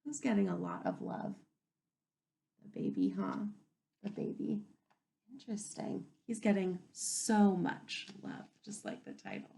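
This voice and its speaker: A woman teacher reading a picture book aloud to young children in an expressive, instructive way, pausing to ask questions. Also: mid-range voice, vocal fry, low-quality recording. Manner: animated, instructive, asking the listeners questions.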